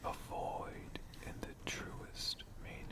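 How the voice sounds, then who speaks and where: Very slow whispering ASMR storyteller of unclear sex. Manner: hushed, drawn-out delivery with long pauses and repeated words.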